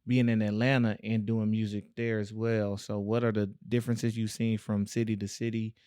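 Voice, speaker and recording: A man's voice: lowish voice, level tone, moderate pace, dark tone, smooth, no room sound, clean studio sound. A man hosting a podcast, asking the guest loose, easygoing questions in a casual chat. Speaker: podcast host, interviewer.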